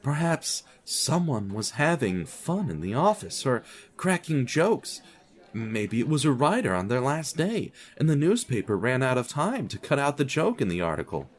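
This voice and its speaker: A man narrating scripted audio fiction in a theatrical, wry and deliberate storyteller's delivery. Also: medium pitch, big pitch swings.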